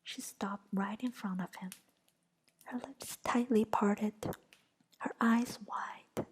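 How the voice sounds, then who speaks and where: Woman reading in a slow whisper. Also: mid-range voice, steady tone, husky, poor audio. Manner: soft unvoiced whisper throughout. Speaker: narrator.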